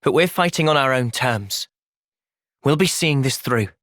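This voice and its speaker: An earnest young soldier hero from a video game, with an English accent and a close, dry sound. He is gentle and thoughtful, speaking with measured, soft seriousness. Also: mid-range voice, lively intonation, no room sound. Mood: intense, earnest. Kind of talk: video game cutscene voice acting.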